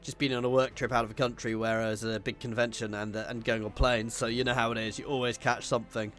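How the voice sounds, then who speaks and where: A man's voice: mid-range voice, flat delivery, quick pace, fairly bright tone, faint music behind. A man with a cold, narrating and reading game text, stumbling now and then. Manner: reads game text aloud with stumbles and apologies. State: congested from a cold. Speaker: gaming channel host. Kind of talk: let's play narration and commentary.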